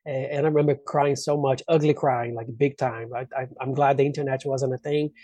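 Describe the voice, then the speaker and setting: A man's voice: mid-range voice, fairly even intonation, a little fast, mellow. A man from Brazil with a Brazilian accent and native Portuguese, telling personal stories casually as an interview guest and acting out what people said.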